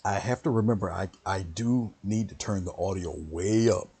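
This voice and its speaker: A man with a very low, gravelly voice, his pitch sitting near the bottom of the range. He leads a relaxed painting stream, rambling between steps and greeting viewers one by one. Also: moderate pace. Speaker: painting instructor. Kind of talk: casual livestream painting lesson.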